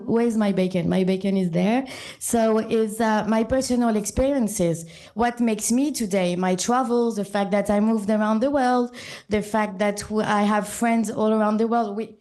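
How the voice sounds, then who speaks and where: A woman with a French accent giving a short conference talk to a live audience, often pausing with ums and restarting sentences. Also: mid-range voice, dark tone. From born in Sri Lanka, French and Italian parents. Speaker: conference speaker.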